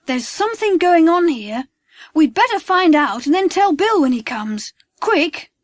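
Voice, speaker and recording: A man puts on an adventurous schoolchild for a storybook. The voice sits far above an adult male register and moves up and down freely. It is earnest and excitable, recorded close and dry. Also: extremely high pitch, unhurried, no echo, voice pushed up, heavily acted. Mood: urgent, excited.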